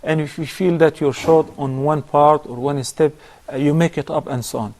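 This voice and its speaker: A man answers viewers' questions as the sheikh of a call-in program. His voice sits a touch high but stays soft and mellow. He teaches in a measured way, counting off points. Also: fairly even intonation. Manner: measured, instructive, lists steps. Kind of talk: religious advice on a call-in show.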